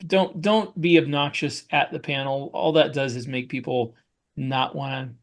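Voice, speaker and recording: A man's voice: mid-range voice, steady tone, normal speed. A man co-hosting a podcast, casually handing out advice in a blunt, wisecracking way. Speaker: author and podcast co-host. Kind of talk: casual advice-giving chat.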